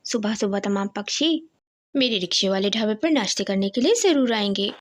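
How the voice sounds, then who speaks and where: A woman voicing a gentle, worried but hopeful widowed mother bird in a children's cartoon story, earnest and reassuring. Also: mid-range voice, lively intonation, normal speed, pitched below the performer's own voice, lightly acted. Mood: hopeful, planning.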